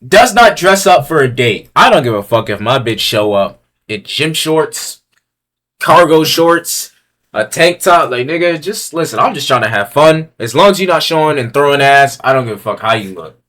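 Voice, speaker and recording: A man's voice: expressive, normal speed. A young man giving casual commentary as he ranks items on a tier list. He talks in a crude, blunt, slangy way, reading each item aloud and then riffing on it. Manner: swings from low mutters to loud yelling.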